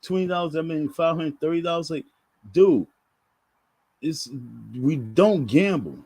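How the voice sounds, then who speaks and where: A man's voice: fairly high pitch, lively intonation, measured pace, a little mellow, no room sound. A man giving preachy casual commentary to his viewers, emphatic and repetitive in a sermon-like way. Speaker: online commentator.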